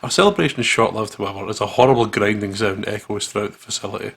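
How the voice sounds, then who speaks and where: A man's voice: on the low side, quick pace, gravelly, a bit bright, breathy edge. A man hosting a gaming video, reading story narration aloud dramatically and dropping into casual asides.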